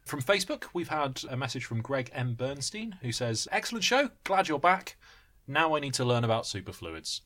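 A man's voice: mid-range voice, sing-song, moderate pace, fairly bright tone. A man co-hosting a podcast in a casual, chatty way. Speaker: podcast co-host. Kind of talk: casual banter.

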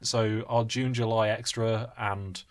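A man's voice: fairly low pitch, level tone, slow. A man co-hosting a podcast in a casual, chatty way. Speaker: podcast co-host. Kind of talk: casual banter.

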